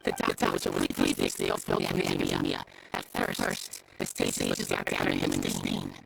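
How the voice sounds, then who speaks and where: A woman playing a frightened academic researcher in a video game cutscene, speaking in halting stammers. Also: rumbling low, monotone, creaky, loud music behind the voice, full character voice, low-quality recording. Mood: nervous, halting. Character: frightened academic researcher confessing under threat. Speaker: game character actor.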